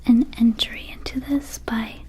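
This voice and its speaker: Woman playing a slow, whispering little vampire herb seller. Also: a little high, steady tone, close to an everyday voice. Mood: rambling, cautioning. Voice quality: soft, breathy whisper. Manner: hesitant, rambling, playfully casual salesmanship. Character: small goofy vampire herb dispensary keeper, laid-back and shady. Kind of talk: ASMR roleplay, mostly whispered.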